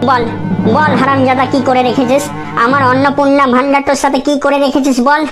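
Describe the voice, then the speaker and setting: Woman acting a village wife, very high voice, rural West Bengal accent, hot-tempered, melodramatic scolding, comedy cartoon. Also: flat delivery, brisk, over music, natural voice. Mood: threatening fury. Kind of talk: exaggerated comedy cartoon dialogue.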